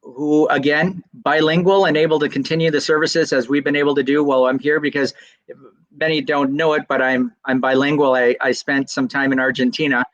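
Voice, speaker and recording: A man's voice: fairly high pitch, flat delivery, brisk, fairly bright tone, no room sound, lo-fi sound. A Salvation Army officer answering interview questions over a video call, earnest and explanatory, often restarting his words.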